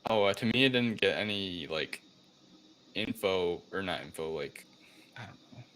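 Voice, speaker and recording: A man's voice: low voice, animated, moderate pace, fairly bright tone, poor audio. A man hosting a podcast, recapping a film in a casual, loosely worded, rambling way.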